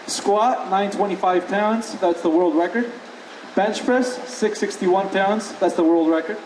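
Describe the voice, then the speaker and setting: A man hosting an event, reading speaker introductions and listing numbers slowly and clearly. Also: high voice, darkish tone, a little hiss or hum. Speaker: event host. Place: conference hall. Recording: echoey room, distant mic.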